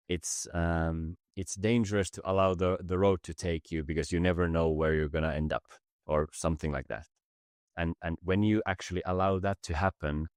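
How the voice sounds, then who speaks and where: A man from Finland, a native Finnish speaker, reflecting slowly as a podcast guest. His voice is deep and flat in tone, and he stumbles over and repeats words as he searches for ideas. The sound is dry, with no room echo. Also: smooth. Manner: halting, many word repeats, thoughtful. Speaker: podcast guest, business facilitator. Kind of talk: reflective conversation.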